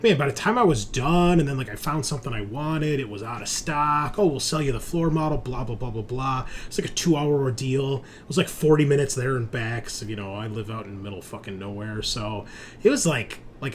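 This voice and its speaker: A man's voice: medium pitch, lively intonation, a little fast, slight background noise. An American man in casual, sometimes sweary chat as he hosts a tabletop game stream. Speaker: host and game master.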